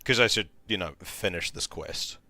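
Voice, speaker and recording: A male streamer with a croaky, tired voice, casually thinking aloud and rambling while playing a game. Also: low voice, normal speed, sharp tone, no echo, soft background music. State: tired voice from talking all day. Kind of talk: casual gaming livestream commentary.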